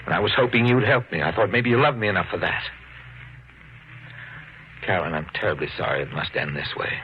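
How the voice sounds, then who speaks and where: An actor playing a brooding, bitter husband under suspicion, ominously calm, in 1950s radio mystery style. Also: male voice, deep voice, a little fast, highs cut off, natural voice. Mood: pleading. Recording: noisy, band-limited old radio recording.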